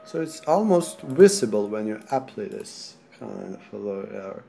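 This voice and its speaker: A man from Serbia with a Serbian accent, sick, talking through a software tutorial in halting non-native English while he clicks. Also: lowish voice, sing-song, gravelly, faint music behind. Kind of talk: screen-recorded software tutorial.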